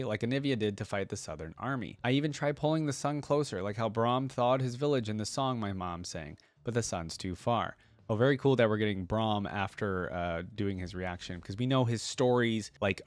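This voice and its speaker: A man's voice: lowish voice, dry recording. A young adult man hosting a reaction video, reading a story aloud and adding casual, informal commentary.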